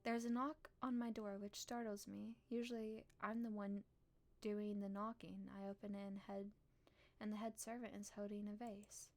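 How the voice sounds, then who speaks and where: Expressive woman streaming a visual novel. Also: medium pitch, moderate pace. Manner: playful, expressive. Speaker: game streamer. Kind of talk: live stream chat and read-aloud narration.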